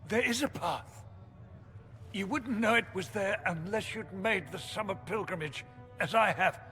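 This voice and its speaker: A devout, weakened chancellor, high-pitched and sharp in tone. His delivery is halting, strained and full of earnest awe, in the manner of a dramatic game cutscene. Faint music sits behind him. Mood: urgent revelation. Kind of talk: dramatic video game acting.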